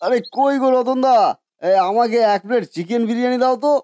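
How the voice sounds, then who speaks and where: A man voicing an excitable, folksy village customer in a cartoon story, nosy, exclamatory and easily amazed. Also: extremely high pitch, brisk, background noise. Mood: curious. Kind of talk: Bengali cartoon story voice acting.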